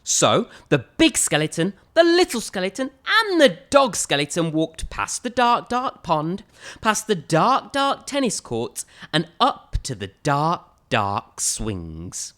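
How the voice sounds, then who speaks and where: A woman's voice: on the low side, huge pitch swings, slow pace, a bit bright. A woman storyteller reading a children's storybook expressively, with theatrical, emphatic phrasing.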